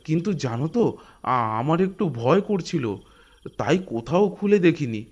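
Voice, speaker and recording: A man voicing a poor, honest, god-fearing village husband in a Bengali animated folk tale, with a West Bengal accent and a hesitant, stammering, earnest delivery. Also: fairly high pitch, moderate pace, slightly rough. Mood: excited, uneasy. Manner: hesitant, stammering, gently reassuring. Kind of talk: animated Bengali folk ghost story dialogue.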